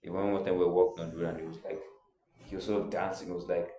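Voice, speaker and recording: A young man tells his own story in a loose, rambling way, leaning on filler words as he goes. His voice sits very low and sounds mellow and soft-edged. The room around him adds a clear echo. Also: flat delivery, racing. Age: young adult. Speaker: vlogger. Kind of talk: casual personal storytelling.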